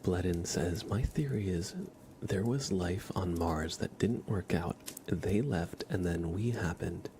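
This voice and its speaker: Low, slow, gravelly man speaking softly. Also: noisy background. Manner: soft-spoken, often dropping into whispers. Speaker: livestream host. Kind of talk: ASMR livestream chat.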